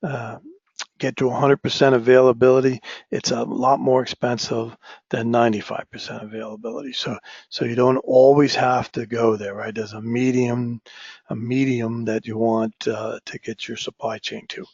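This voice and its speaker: Male instructor, hoarse with a cold, husky, mid-range voice; dry, muffled lo-fi lecture recording. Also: mid-range voice, little pitch movement, normal speed, darkish tone, dry recording. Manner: frequent restarts, asks "right?" often.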